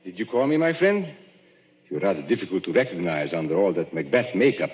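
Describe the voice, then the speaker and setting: A suave, knowing stage magician. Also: male voice, deep voice, very animated. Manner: smooth, measured, knowing. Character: suave middle-aged male stage magician, sleuthing. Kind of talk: 1940s radio acting. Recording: dull old radio broadcast, reverberant.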